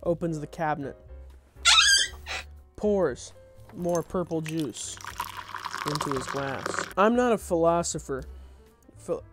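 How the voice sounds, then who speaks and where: A man's voice: fairly high pitch, takes it slowly, long pauses, loud music behind the voice. A young adult man doing an informal table read of his own comedy script, dropping in wry, off-the-cuff asides. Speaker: content creator reading own script.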